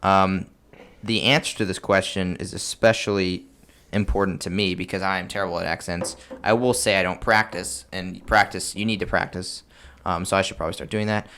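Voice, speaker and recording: A man's voice: low-pitched, steady tone, fairly quick, a bit bright. A man hosting a podcast, explaining things in a casual, chatty way. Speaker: podcast host.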